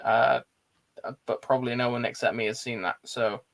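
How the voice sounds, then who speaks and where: Male co-host, mid-pitched with plenty of vocal fry, informal and blunt film talk. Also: level tone, moderate pace. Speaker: film discussion show co-host. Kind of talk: casual movie chat.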